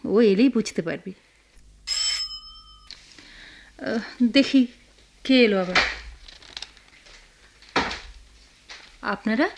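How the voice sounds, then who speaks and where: An actress playing a caring, anxious sister-in-law in a family radio drama, with a homely, probing manner and a Bangladeshi accent. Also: female voice, on the high side, normal speed, background noise, lightly acted. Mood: gentle concern. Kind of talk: Bengali radio drama acting.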